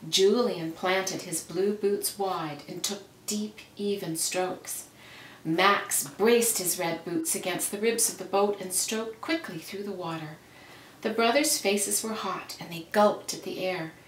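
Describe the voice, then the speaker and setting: A woman reading a children's story aloud with careful, expressive, dramatic phrasing. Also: medium pitch, takes it slowly. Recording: roomy, noisy, distant microphone.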